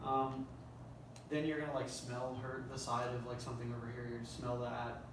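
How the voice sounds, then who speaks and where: Male director at table read, deliberate dramatic script delivery, distant and very reverberant room sound. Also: medium pitch, monotone. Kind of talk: reading screenplay action lines and coaching actors. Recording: echoey room, distant mic, faint noise.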